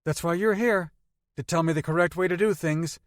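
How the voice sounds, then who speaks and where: A man's voice: on the high side, dry recording, studio quality, pitched above the performer's own voice, close to an everyday voice. A man voicing a tough, decisive adult woman who talks bluntly and a bit sarcastically, in an audiobook reading. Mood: dry. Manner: brisk, no-nonsense, a little sarcastic.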